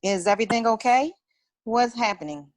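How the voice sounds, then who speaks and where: An actress at a table read playing a candid, sympathetic office colleague. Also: female voice, fairly high pitch, animated, moderate pace, close to an everyday voice. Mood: concerned, defensive.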